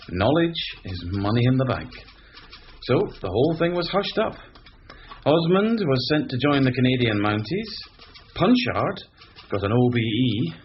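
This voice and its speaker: An actor playing an exasperated police sergeant, narrating a radio drama in a wry, dry manner. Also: male voice, medium pitch, dull audio, a little hiss or hum.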